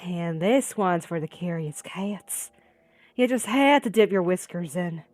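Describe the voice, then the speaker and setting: A woman voicing a cold-blooded, menacing killer from a visual novel, with a slow, threatening drawl. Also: on the low side, darkish tone, natural voice. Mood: cold menace.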